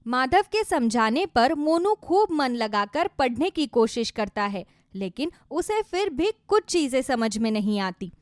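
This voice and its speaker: A woman's voice: high-pitched, sing-song, a little slow, few pauses, dry recording, studio quality. A woman narrating a scripted moral story for children.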